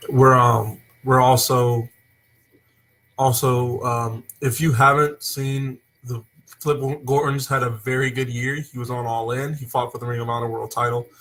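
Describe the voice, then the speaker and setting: A young man hosting a casual wrestling talk show, opening with loud hype and then rambling with fillers. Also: mid-range voice, low-quality recording. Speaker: host. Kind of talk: casual wrestling podcast chat.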